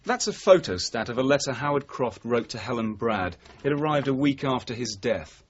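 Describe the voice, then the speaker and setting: A British actor playing a dogged, level-headed detective sergeant in a radio drama, terse and measured as he confronts his boss. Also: mid-range voice, lively intonation, slight background noise, natural voice. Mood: quietly accusing. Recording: dull, muffled old radio recording.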